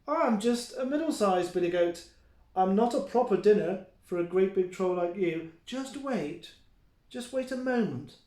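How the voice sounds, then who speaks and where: A clever little billy goat from a storybook, played by a man. The voice is high and lilting, sing-song and polite with a cheeky streak, heard in a roomy space. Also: animated, moderate pace, a little mellow. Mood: polite pleading. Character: cheeky young male billy goat, small, clever, pleading. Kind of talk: children's storybook character voice. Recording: roomy, echoing indoor sound.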